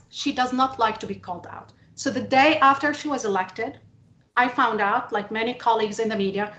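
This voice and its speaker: Emphatic woman, higher voice, echoey room. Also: a bit bright. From Middle East. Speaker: panelist, journalist. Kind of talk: panel testimony, impassioned political talk.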